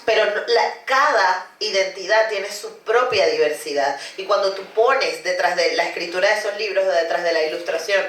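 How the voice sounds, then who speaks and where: A woman's voice: mid-range voice, moderate pace, hardly any pauses, a bit bright. Venezuelan-born woman hosting, roomy distant sound. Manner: talks in long, reflective runs with many "¿no?" tags. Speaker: podcast host. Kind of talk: casual conversation.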